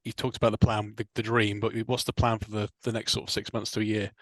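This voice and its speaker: Fast-talking English interviewer in a car. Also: male voice, fairly low pitch, little pitch movement, fairly bright tone, dry recording. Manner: quick, curious questions with restarts. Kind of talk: casual interview questions. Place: inside a parked car.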